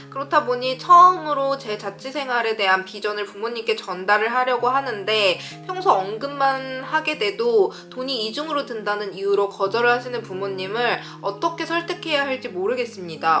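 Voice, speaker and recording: Bright, high-voiced woman in her thirties. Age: 30s. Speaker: vlogger answering viewer letters. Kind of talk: casual advice talk to viewers.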